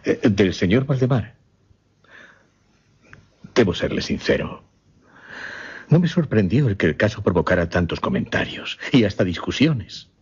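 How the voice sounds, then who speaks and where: An actor playing a courteous, earnest gentleman in a radio drama, speaking formally and with some hesitation. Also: lowish voice, very animated, unhurried, long pauses, breathy edge, exaggerated voice. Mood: grave, earnest. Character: courteous, earnest gentleman host, troubled by a scandal. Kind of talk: Spanish-language radio drama acting. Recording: muffled, lo-fi radio drama recording.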